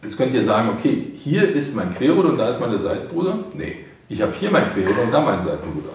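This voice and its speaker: A man's voice: mid-range voice, sing-song, fast, slight background noise. A middle-aged man reviewing a product at a studio desk, casually walking viewers through it step by step. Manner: informal, explains step by step to viewers. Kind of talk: casual product demo for hobbyists. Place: indoor studio desk with painted backdrop. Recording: very echoey room, mic far off.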